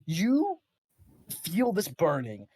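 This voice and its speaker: A game master narrating an improvised tabletop game, sometimes halting to search for words. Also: mid-range voice, wildly swooping pitch, a little mellow, husky, dry recording, clean studio sound.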